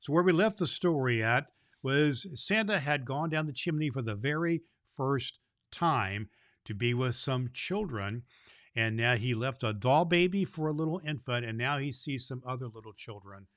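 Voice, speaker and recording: A man playing a kindly old Santa Claus, hosting a children's Christmas show in a folksy, deliberate storyteller's manner. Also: mid-range voice, lively intonation, a bit bright, no room sound, clean studio sound, lightly acted. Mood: warm, eager. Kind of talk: scripted announcements and storybook reading for children.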